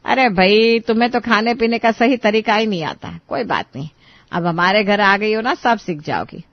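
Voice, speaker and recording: A woman's voice: medium pitch, lively intonation, moderate pace, no room sound, highs cut off, close to an everyday voice. A woman playing a middle-aged, bossy yet affectionate mother-in-law in a dramatized story, instructing in a homely, motherly way.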